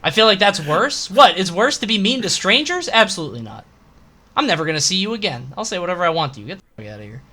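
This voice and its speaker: A man's voice: high-pitched, big pitch swings, fast talker, pushed and bright. A man co-hosting a podcast, reading out questions and bantering casually in a blunt, sarcastic, sweary way.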